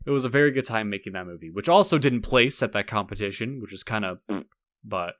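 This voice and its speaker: Low-pitched young man chatting on a podcast. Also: lively intonation, fast talker, fairly bright tone, no echo, studio quality. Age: young adult. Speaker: podcast co-host. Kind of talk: casual podcast chat.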